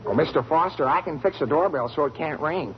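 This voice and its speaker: A man's voice: fairly high pitch, a little fast, close to an everyday voice. An American actor playing an awkward, earnest teenage boy in a 1940s radio sitcom, hesitant and eager. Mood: helpful. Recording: muffled old radio recording, faint noise.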